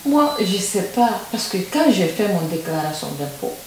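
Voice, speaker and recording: Give me a woman answering in a halting way. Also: medium pitch, sing-song, a little mellow. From Guinea. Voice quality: halting, with simple phrasing. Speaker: interview guest. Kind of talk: conversational interview answers. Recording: roomy echo with faint hiss.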